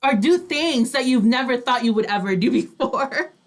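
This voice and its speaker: A woman's voice: high voice, lively intonation, normal speed. A woman co-host chatting casually and agreeably in loose, conversational phrases. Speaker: show co-host. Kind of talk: casual chat.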